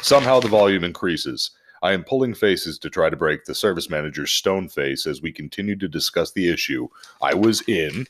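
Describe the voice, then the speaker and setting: A man's voice: deep voice, animated, fairly quick, hardly any pauses, noisy background. A man hosting a podcast in a casual, jokey way with plenty of swearing. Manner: profane, jokey. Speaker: podcast host. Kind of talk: casual podcast chat and animated story reading.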